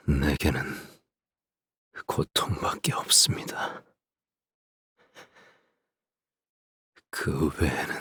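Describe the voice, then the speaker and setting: An actor voicing a controlling, world-weary father and menacing swordsman in a video game, husky and deliberate, speaking with ominous softness. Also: extremely low pitch, no room sound, exaggerated voice. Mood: quiet, weary. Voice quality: husky, breathy. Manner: slow, deliberate, ominously soft-spoken. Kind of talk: dramatic game character voice lines.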